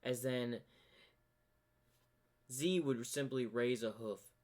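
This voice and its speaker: A man with a midrange voice narrates fan fiction as if improvising it. His delivery is slow and halting, with pauses in the middle of sentences. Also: mid-range voice, darkish tone. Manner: halting, pausing mid-sentence. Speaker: storyteller.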